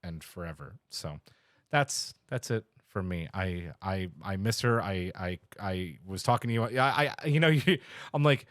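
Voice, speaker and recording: A man co-hosting a podcast in casual, heartfelt chat, telling stories emphatically and haltingly. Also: sits low, lively intonation, fairly bright tone, no room sound, clean studio sound. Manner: emphatic, halting, enthusiastic storytelling with acted-out quotes. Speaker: podcast co-host.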